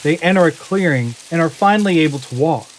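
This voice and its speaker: A man narrating a scripted audio drama in a measured, descriptive manner. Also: on the high side, animated, a little hiss or hum, rough recording.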